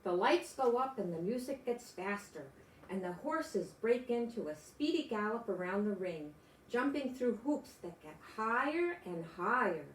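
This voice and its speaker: Animated older grandmother, echoey room. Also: mid-range voice, measured pace, a little hiss or hum. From United States. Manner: animated, expressive, exclaiming to young listeners. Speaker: grandmother reading picture books aloud. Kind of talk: children's storybook read-aloud with chatty asides.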